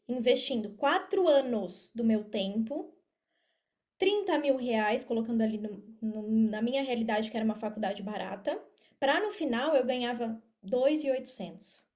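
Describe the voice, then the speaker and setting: A woman's voice: fairly high pitch, darkish tone. A woman with a Brazilian Portuguese accent talking casually as an interview guest, explaining things and repeating phrases for emphasis. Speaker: podcast guest, affiliate marketer.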